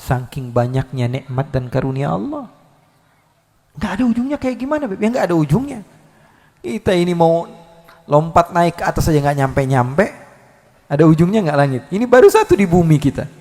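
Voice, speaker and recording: A male preacher giving a live Islamic sermon, lively, swinging between loud calls and soft asides with many rhetorical questions. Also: fairly high pitch, a little fast, long pauses, long reverb tail. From Indonesia. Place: large hall at a live religious gathering.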